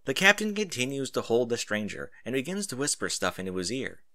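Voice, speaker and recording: A man narrating a first-person story in an animated audiobook style. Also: medium pitch, fairly quick, no room sound, clean studio sound.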